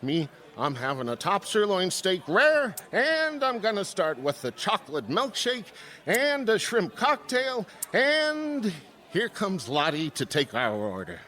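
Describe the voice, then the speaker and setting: High bright American male voice, boastful, eager, excitable, argumentative, radio drama. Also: a little slow, heavily acted. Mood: defensive, boastful. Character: boastful, eager male brother, big spender.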